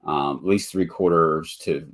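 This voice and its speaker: Very deep-voiced man, nasal and stuffy. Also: measured pace, a bit bright. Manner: rambling, many ums and restarts. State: congested from allergies. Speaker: webinar host, motel investor. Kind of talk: casual live webinar presentation.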